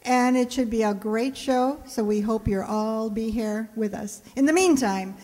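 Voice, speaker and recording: A woman's voice: a little high, a little slow, mellow. A woman hosting a live show, making a friendly, informal closing announcement to her audience. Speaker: host of a community radio players' show. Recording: reverberant hall with background noise.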